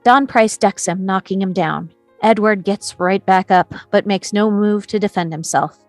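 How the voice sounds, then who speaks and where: A woman's voice: medium pitch, normal speed, no room sound, faint music behind. A woman reading scripted stage directions as the narrator of a screenplay table read.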